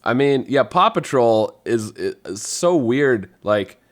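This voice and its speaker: A man in casual podcast conversation, easygoing and thinking out loud as a panelist. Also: medium pitch, lively intonation, slow.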